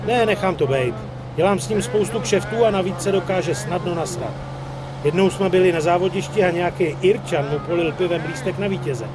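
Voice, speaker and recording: An actor playing a brash, cocky, streetwise young mobster buddy with a swaggering, slangy way of talking in a crime game. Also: male voice, noisy background, lightly acted. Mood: breezy, streetwise. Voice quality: high-pitched, slangy, swaggering. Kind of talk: dubbed crime game dialogue.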